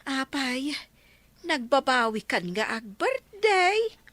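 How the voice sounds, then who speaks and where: A woman's voice: sits high, big pitch swings, takes it slowly, a bit bright, dry recording, heavily acted. A woman playing a dismayed village auntie in a comedy radio drama, with an Ilocano accent. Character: village auntie dismayed over a cancelled birthday.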